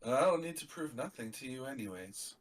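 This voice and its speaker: A young man from Canada, co-hosting a live reaction stream in a blunt, animated, casual way, swearing now and then. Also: mid-range voice, a little fast, far from the mic.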